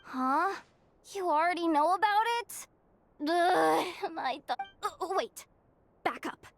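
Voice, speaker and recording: Very high-pitched flustered girl. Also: takes it slowly, creaky, over music, exaggerated voice. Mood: astonished. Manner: stammering, dramatic. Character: excitable, easily flustered young girl. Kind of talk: video game character acting.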